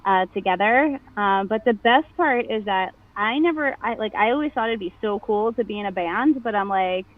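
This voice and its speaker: A woman's voice: medium pitch, normal speed, hardly any pauses. A woman in her thirties from New Jersey with a New Jersey accent, a nasal voice and a chatty manner full of fillers, talking as a guest in an interview. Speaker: podcast guest. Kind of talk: casual interview chat. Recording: phone-like narrow band.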